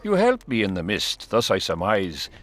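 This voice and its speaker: A commanding male king in a fantasy game. His low voice rises and falls very dramatically as he speaks with regal formality. Music plays behind him. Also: huge pitch swings, normal speed, a bit bright. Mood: formal, welcoming. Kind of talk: video game dialogue acting.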